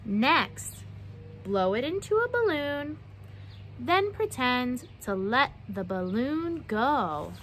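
A woman's voice: high voice, very animated, takes it slowly, fairly bright tone, slight background noise. A young adult woman reading a children's book aloud expressively, often putting questions to her young listeners. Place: outdoors on a wooden backyard deck.

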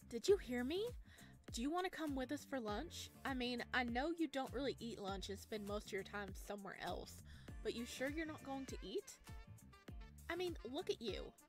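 A woman's voice: high-pitched, lively intonation, normal speed, soft background music, voice pushed up. A woman voicing an impatient, blunt teenage schoolgirl with a pushy, needling manner in a visual novel. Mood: impatient, mocking.

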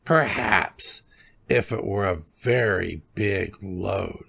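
A man playing a slow, crafty old woodman. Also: on the low side, gravelly, slightly rough, full character voice.

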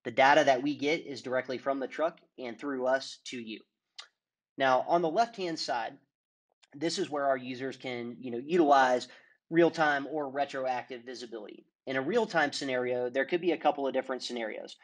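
A man talks through a software demo over a screen share. He explains each step in a steady, clear presenter's manner, and his voice sits at an everyday middle pitch. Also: moderate pace. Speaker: product demonstrator.

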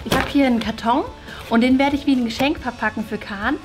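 A woman's voice: sits high, quick pace. A young woman hosting a prank vlog, talking casually and playfully to camera. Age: young adult. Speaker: prank show host. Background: music.

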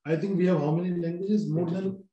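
A man's voice: sits high, fairly even intonation, muffled recording. Indian man on an echoey video call. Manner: measured, explanatory, many restarts and fillers. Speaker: panelist. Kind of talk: panel discussion on a video call. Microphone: laptop on a video call. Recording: roomy video call audio.

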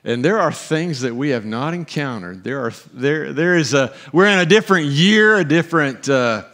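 A middle-aged man preaching a sermon as pastor on a church stage, animated, with pauses and emphatic rises. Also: fairly high pitch.

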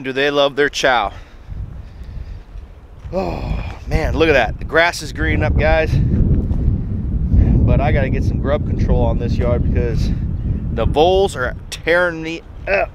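A man's voice: medium pitch, measured pace, long pauses. A man in his thirties with an American accent, chatting casually to camera in a folksy, colloquial way as a homestead vlogger. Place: outdoors in a yard and in a garage. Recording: background noise, wind outdoors, birds.

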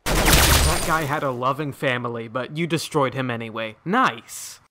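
A man's voice: medium pitch, unhurried. A man giving scripted voice-pack commentary as a game announcer. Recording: loud game noise, clicks and shattering. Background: game sound effects.

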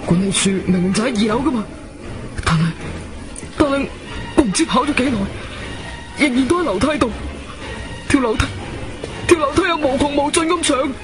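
An actor playing a frightened, despairing young man trapped and near panic, halting and repeating himself in a Cantonese radio drama. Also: very high voice, over music. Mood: desperate.

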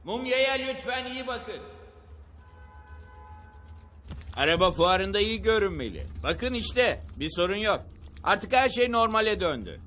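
High-pitched cocky slacker guy. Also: very animated, moderate pace, sharp tone, a little hiss or hum, full character voice. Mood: nonchalant. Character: boastful, car-obsessed slacker young man, laid-back mech pilot. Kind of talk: Turkish-dubbed cartoon acting.

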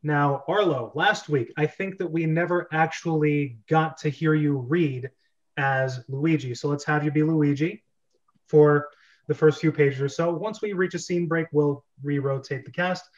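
A man's voice: fairly high pitch, unhurried, dull audio. A man hosting a script-reading club over a video call, talking casually.

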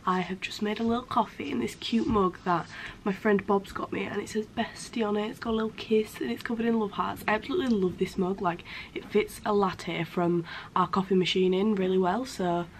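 A young woman, chatting casually to camera in her bedroom, slightly croaky from a cold and full of "like" fillers. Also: mid-range voice, fairly even intonation, fairly quick, slight background noise. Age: young adult. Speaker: vlogger. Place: bedroom at home, indoors. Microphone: camera in front at close range.

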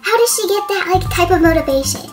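Casting: woman playing squeamish, vain teen girl for a toy story, extremely high voice, whiny valley-girl delivery, music underneath. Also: lively intonation, quick pace. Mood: chatty, complaining. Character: ditzy, squeamish, vain teenage fashionista girl.

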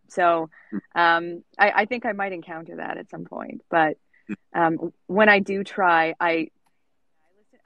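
A woman's voice: fairly low pitch, vocal fry, fairly bright tone, no room sound, poor audio. A woman, an audiobook narrator, talking hesitantly and modestly on a video call. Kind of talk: casual chat.

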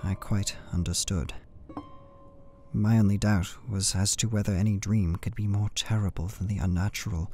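An anxious young gentleman played by a man recounts his journal in a radio play. His voice is on the low side, formal and measured, with a literary turn of phrase. Music runs clearly underneath the narration. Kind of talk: dramatic first-person narration, radio play.

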